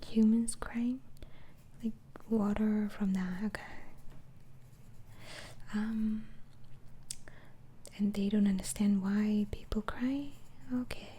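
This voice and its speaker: A woman playing a gentle, inquisitive doctor in an ASMR roleplay, speaking in a soft breathy whisper with halting, murmured questions. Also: medium pitch, steady tone. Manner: halting, hesitant, murmuring acknowledgements. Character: gentle, inquisitive female doctor interviewing a mental patient. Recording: faint background noise.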